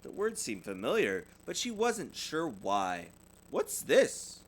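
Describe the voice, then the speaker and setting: Animated man reading a children's storybook. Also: mid-range voice, a little slow. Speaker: parent reading aloud to children. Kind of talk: read-aloud children's storybook narration. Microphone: USB desktop microphone.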